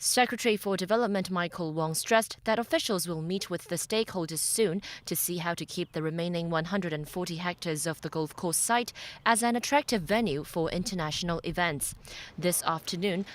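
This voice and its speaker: A woman with a fairly low voice narrates a scripted news report. She delivers it as a TV reporter reading prepared copy. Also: moderate pace.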